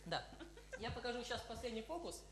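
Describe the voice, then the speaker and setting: A man performing magic tricks on stage, speaking in short plain phrases and pausing so an interpreter can repeat each one. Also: very high voice, a bit bright. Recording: long hall reverb, faint music.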